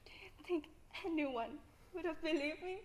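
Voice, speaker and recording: Young actress as a high-voiced, distraught young woman. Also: normal speed. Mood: defensive, distraught. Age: young adult. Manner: dramatic, accusing. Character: distraught, defiant young woman under suspicion. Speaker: student stage actor. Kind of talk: theatrical stage acting.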